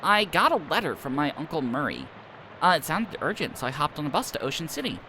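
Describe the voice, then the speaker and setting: A man's voice: a little high, sing-song, fast talker, bright, forceful tone, slight background noise, low-quality recording. A man streaming a game, reading its dialogue aloud in a wry, playful way.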